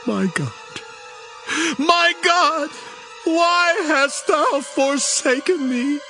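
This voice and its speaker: An actor playing a dying man crying out in drawn-out anguish in a dramatized scripture reading. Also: extremely high pitch, expressive, pushed and bright, faint music behind, heavily acted.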